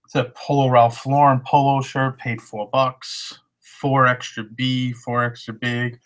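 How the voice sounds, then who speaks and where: Unhurried thirties man, monotone, plain webcam sound, reselling talk. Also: mid-range voice. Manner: relaxed, matter-of-fact item rundown. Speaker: reseller showing thrift finds. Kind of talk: casual haul vlog. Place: living room at home. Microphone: webcam at desk.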